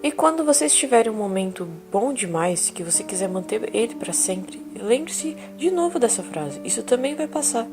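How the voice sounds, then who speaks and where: A woman acting a calm therapist in an audio drama, asking gentle probing questions over music. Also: fairly high pitch, moderate pace, hardly any pauses.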